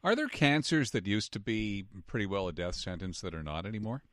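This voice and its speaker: Low-voiced, very animated male radio interviewer. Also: fairly quick, no echo, studio quality.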